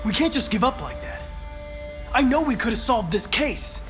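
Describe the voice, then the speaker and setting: A man's voice: very high-pitched, sing-song, moderate pace. A man playing a polite, determined young android detective, speaking formally and precisely in a video game. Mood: resigned, firm. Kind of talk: cinematic video game acting. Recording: loud music behind the voice.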